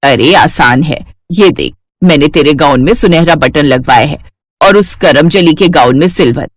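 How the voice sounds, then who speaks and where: A sly middle-aged stepmother from a cartoon fairy tale, acted by a woman, pitched low and dryly recorded. She is bossy and coaxing, full of contempt and schemes. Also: moderate pace, no echo, clean studio sound. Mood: conniving. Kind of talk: Hindi cartoon story character acting.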